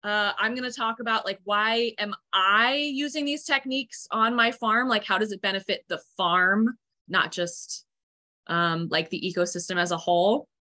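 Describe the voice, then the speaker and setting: A woman's voice: medium pitch, moderate pace, bright, forceful tone. A young woman farmer giving a chatty, upbeat online presentation with many fillers, speaking over a video call. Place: room at home, indoors.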